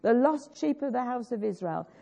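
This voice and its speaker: A woman's voice: fairly high pitch, expressive, moderate pace, vocal fry, mellow. A woman with a growly voice preaching a sermon, earnestly urging the congregation and coaxing them to join in. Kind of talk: sermon, teaching a worship song. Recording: dull lo-fi recording.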